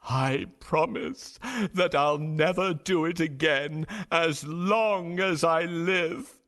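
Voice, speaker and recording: A man's voice: a little high, slow, a bit bright, dry recording, clean studio sound. A man voicing a sorry, greedy wolf in a children's cartoon story, groaning and whimpering as he pleads.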